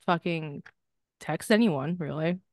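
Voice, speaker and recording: A young woman co-hosting a podcast in loose, rambling chat. She swears freely and keeps breaking into laughter. Her voice sits a little low, and the room around her sounds dry. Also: measured pace, darkish tone, no room sound. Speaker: podcast co-host. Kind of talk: casual chat.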